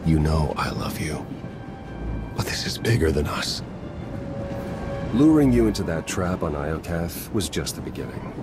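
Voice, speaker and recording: An actor playing a cold, disillusioned spy turned traitor in a dramatic video game, speaking in a grave, deliberate way. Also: male voice, a bass voice, expressive, music in the background. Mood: bitter, resolute.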